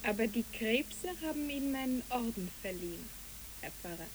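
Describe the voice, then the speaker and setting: A woman's voice: on the high side, expressive, normal speed, background noise, natural voice. A woman playing a dreamy, imaginative child in a poetic radio play, speaking earnestly and lyrically. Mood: wistful.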